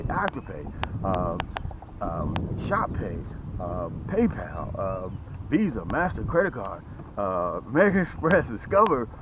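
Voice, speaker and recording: A middle-aged man talks to camera from a small boat out on a lake, upbeat and chatty and fond of repeating himself. His voice sits at an ordinary pitch, and the outdoor recording sounds a little dull, with faint background noise. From Atlanta, Georgia area. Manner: energetic, repeats words, often adds "okay?" and "boom". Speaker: fishing channel host. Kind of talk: casual fishing vlog talk to camera. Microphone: camera close on a boat.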